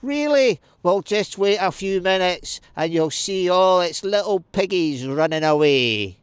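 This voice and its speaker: A man's voice: high-pitched, lively intonation, unhurried, clean tone, no room sound, pitched above the performer's own voice. A man putting on a scoffing noblewoman. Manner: scoffing, teasing. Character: defiant mocking noblewoman commanding a castle.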